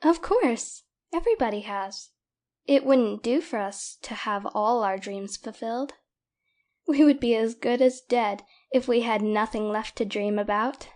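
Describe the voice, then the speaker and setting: A dreamy, cheerful young heroine of a classic storybook , played by a woman. Her voice sits fairly high. She speaks expressively, with a wistful touch, as in a dramatized audiobook. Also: unhurried, darkish tone, lightly acted. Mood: bright, light. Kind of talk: dramatic audiobook character reading.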